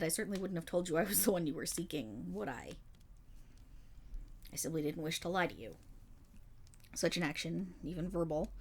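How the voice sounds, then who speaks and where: A woman acts out a seasoned female paladin in a fantasy audio roleplay. Her voice sits a little low and moves with lively, sweeping intonation. She is kindly and earnest, weighted with regret, and tells her tale in formal, measured phrases. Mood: grave, earnest. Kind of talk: fantasy roleplay audio monologue addressed to the listener.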